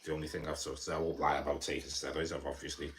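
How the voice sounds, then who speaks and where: Deep-voiced Scouse man chatting casually. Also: little pitch movement, quick pace. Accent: Liverpool (Scouse). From Liverpool, England. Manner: rambling, repeats words, swears casually. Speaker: livestreamer. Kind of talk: casual live stream chat with viewers. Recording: faint background noise.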